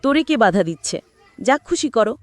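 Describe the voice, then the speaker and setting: A woman's voice: a little high, very animated, moderate pace, dry recording. Woman voicing a reluctant, melancholy older brother. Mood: bemused, dismissive. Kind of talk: audio story dialogue.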